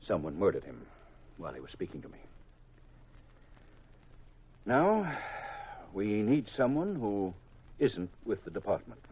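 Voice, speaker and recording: An actor playing a grave, secretive government intelligence chief in a 1950s radio drama, deliberate and confidential on a vintage recording. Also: male voice, lowish voice, sing-song, husky, highs cut off, exaggerated voice. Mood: grave, confiding.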